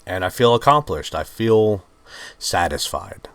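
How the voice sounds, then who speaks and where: A man with a mixed Virginian and Georgian Southern accent, hosting his channel and talking in a subdued, halting, heartfelt way. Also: low voice, animated, vocal fry, a bit bright, no room sound. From Virginia, USA. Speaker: channel host. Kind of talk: reflective personal talk to camera.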